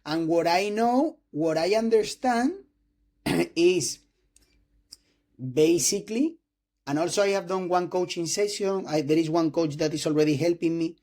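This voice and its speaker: Spanish-accented young man, higher voice. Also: darkish tone. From Spain. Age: 20s. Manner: halting non-native English with restarts and throat clearing. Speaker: host interviewing a doctor. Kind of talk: casual interview talk.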